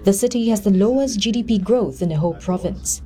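A woman reading scripted news narration in a measured, even way. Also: medium pitch.

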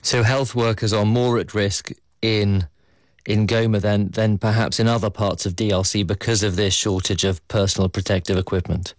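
A man's voice: on the low side, steady tone, moderate pace, dry recording, clean studio sound. A man presenting a news program, questioning and thanking a guest politely. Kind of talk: broadcast interviewing.

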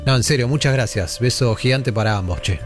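A man's voice: mid-range voice, fairly quick. An Argentine man hosting a radio show, chatting casually with listeners in an informal, rambling way. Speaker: radio host. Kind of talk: casual late-night chat with listeners. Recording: music playing behind. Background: music.

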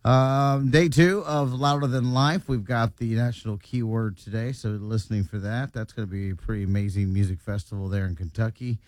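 A man's voice: lowish voice, steady tone, moderate pace, few pauses, a little mellow, dry recording. A man, hosting a morning radio show in casual, teasing banter and often stumbling over his words. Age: 40s.

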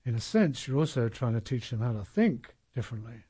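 A man's voice: medium pitch, big pitch swings, brisk, warm tone, slightly rough. A man interviewing a writer, asking encouraging questions that often end in tag questions.

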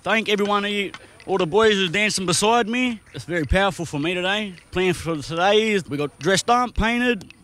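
A man's voice: sits high, normal speed, a bit bright. A man who is a dancer talks in an easygoing, slightly trailing way. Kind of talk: casual shout-out talk.

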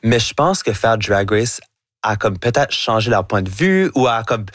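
Low-voiced Acadian man, rambling chat. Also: sing-song, normal speed, no room sound, clean studio sound. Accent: Acadian French with chiac. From Acadia, Canada. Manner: loose, rambling, mixes in English fillers. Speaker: guest, performer. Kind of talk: casual interview chat. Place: radio studio. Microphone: studio microphone.

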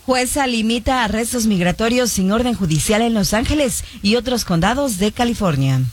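A woman's voice: on the high side, animated, moderate pace. A woman co-hosting a morning show, telling anecdotes in a conversational way and reading headlines in a broadcast studio. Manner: conversational, storytelling, indignant asides.

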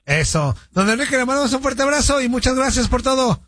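A man with a Mexican accent hosting a sports call-in radio show, joking loudly with callers in crude slang. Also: extremely high pitch, expressive, quick pace, dry recording.

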